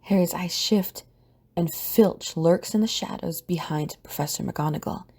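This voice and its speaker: A woman reading scripted story narration for an audio drama in a mellow, husky voice. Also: fairly low pitch, measured pace.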